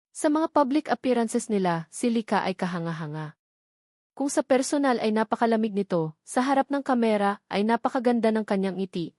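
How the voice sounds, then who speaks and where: A woman's voice: medium pitch, a little mellow, no echo, studio quality. A woman reading a scripted romantic drama as a story narrator. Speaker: narrator of an audio love story.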